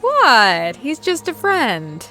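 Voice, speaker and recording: A woman's voice: sits high, wildly swooping pitch, drawn-out words, bright, forceful tone, faint music behind, exaggerated voice. A woman voicing a regal, lovelorn pony princess and older sister in a scripted audio drama, wistful and theatrical, with sighs. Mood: dreamy, defensive.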